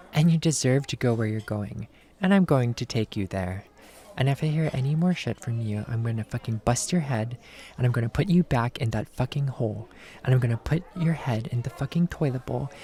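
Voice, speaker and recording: A man's voice: medium pitch, expressive, brisk, mellow, lightly acted. A man playing a short-tempered, sarcastic bounty hunter and ex-cop, blunt and dismissive, in an acted film script read. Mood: angry threatening.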